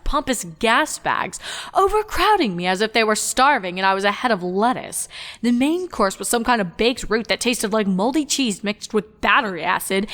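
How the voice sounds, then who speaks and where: A woman's voice: mid-range voice, a bit bright, in a large hall. Woman voicing a weary, dry-humored pony princess.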